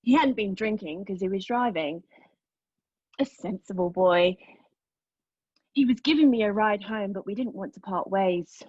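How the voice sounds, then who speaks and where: An actress playing a haunted young woman in a dramatic stage monologue, recounting a painful memory in a halting, intense way. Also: medium pitch, a little mellow, slightly rough, no room sound, dull audio. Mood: wistful, intimate. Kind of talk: dramatic monologue read at a table read of a play.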